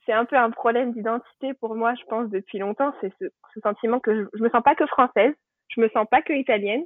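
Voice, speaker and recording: A woman's voice: fairly high pitch, a little fast, dry recording. Chatty woman on a thin phone-like line. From Normandy, France. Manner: chatty, anecdotal, thinking aloud. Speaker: podcast guest. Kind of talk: casual personal storytelling. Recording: thin phone-like band, dry.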